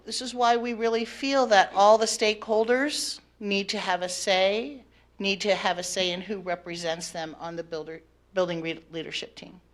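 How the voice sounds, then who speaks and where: Loud-voiced woman presenting at the podium. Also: mid-range voice, fairly even intonation, moderate pace. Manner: loud voice, talks partly off the cuff with fillers. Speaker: teachers' union negotiator. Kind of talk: semi-prepared public presentation. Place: board of education meeting room. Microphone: podium microphone.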